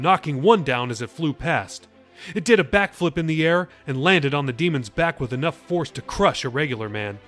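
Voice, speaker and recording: A man narrates his own fantasy novel in a fairly high voice, speeding up and slowing down with the action. Faint music plays softly beneath his reading. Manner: dramatic, shifting pace and pitch for action. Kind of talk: audiobook narration.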